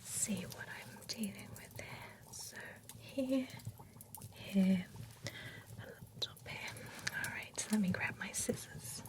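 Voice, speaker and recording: A woman whispering as a chatty female vampire who grooms a werewolf at a spa, soft and reassuring. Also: mid-range voice, slow pace, pauses often, close to an everyday voice. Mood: whispery, reassuring. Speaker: ASMR roleplay performer. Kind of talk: whispered ASMR roleplay.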